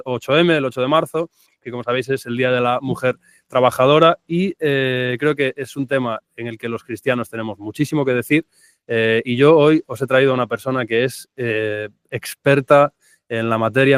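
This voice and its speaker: Eager male podcast host, warm toward his guest; smooth, resonant voice, dry close recording. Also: mid-range voice, dry recording. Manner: enthusiastic, affectionate, hesitant fillers. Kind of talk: podcast interview hosting.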